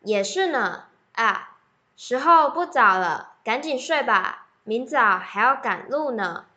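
A woman's voice: fairly high pitch, lively intonation, slow pace, sharp tone, muffled recording, heavily acted. A teenage girl playing a trusting elder ghost, a wanderer who is easily exasperated, in an amateur radio drama. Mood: gentle, tired.

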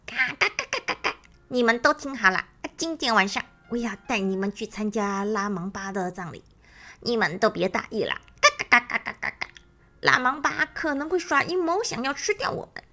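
A woman voicing a cautious adult male bird who punctuates his talk with a loud honking cackle. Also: a little high, expressive, normal speed. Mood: warning.

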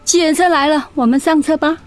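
An actress playing a steady, supportive friend in a radio drama, soothing and encouraging. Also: female voice, sits high, fairly quick, lightly acted. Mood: consoling. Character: supportive, steady female friend, comforting and practical.

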